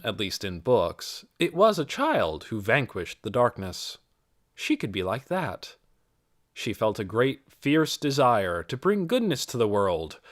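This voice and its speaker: A man reading a story aloud as scripted audiobook narration. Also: medium pitch. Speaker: audiobook reader.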